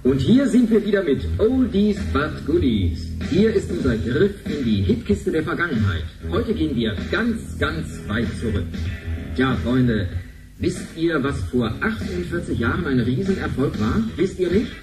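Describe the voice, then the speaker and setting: A slick oldies presenter in a radio play. He talks chummily in a raised, highly animated voice, echoing over music. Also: measured pace. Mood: chummy, showy. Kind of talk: radio play acting as broadcast presenter patter. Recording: echoey broadcast sound over music.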